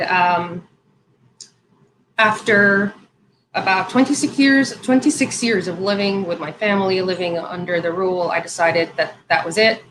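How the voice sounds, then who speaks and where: A woman's voice: fairly low pitch, reverberant, noisy background. A woman in her thirties from Saudi Arabia, a guest telling her story in a casual interview, talking with many fillers and restarts. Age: 30s.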